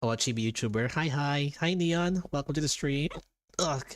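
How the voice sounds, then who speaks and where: A man's voice: fairly high pitch, normal speed, no room sound. A man chatting casually with viewers while livestreaming a game. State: hiccups. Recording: game music behind the voice. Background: game music.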